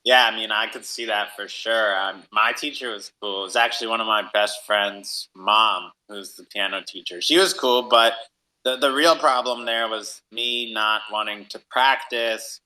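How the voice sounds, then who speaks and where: Young man, strikingly sharp bright voice, lowish pitch, chatty podcast host, agreeable. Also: steady tone, moderate pace, rough recording. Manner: informal, agreeable, frequent "like" and "right?". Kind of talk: casual conversational interview.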